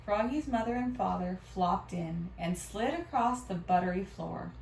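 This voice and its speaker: A woman reading a storybook aloud to children in an expressive, teacherly way. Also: medium pitch, measured pace, vocal fry. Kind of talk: animated read-aloud with questions to children. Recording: roomy, distant mic, faint noise.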